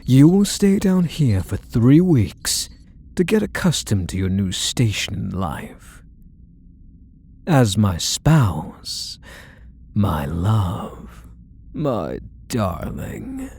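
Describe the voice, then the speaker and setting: A man playing a possessive, controlling kidnapper husband in an ASMR roleplay, deliberate and menacing, with condescending endearments. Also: lowish voice, very animated, a little mellow, husky, heavily acted. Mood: stern, reproachful. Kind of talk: scripted ASMR roleplay, addressing the listener.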